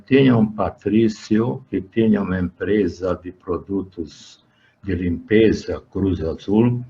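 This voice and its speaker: An older man with a Hungarian accent speaks very slowly and haltingly, filling pauses with "eh" and often starting over. His voice sits fairly low. The recording sounds dull and lo-fi. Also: gravelly, darkish tone. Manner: slow and halting, with frequent "eh" fillers and restarts. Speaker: interviewee. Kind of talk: recounting his working life in an interview.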